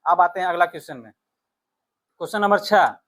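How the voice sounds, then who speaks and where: High-pitched man teaching. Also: fairly quick, a bit bright. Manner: instructive, repeats points and reads questions aloud in Hindi and English. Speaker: exam coaching teacher. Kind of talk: explanatory test-prep lesson.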